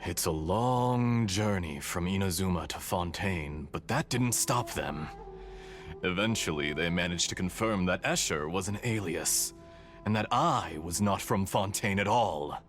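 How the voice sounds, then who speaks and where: A sly game villain who hides behind exaggerated courtesy. His low voice swoops expressively, smug and taunting. Soft music runs beneath it. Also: animated, unhurried. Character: suave, mocking male villain posing as mechanic. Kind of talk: dramatic video game voice acting. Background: background music.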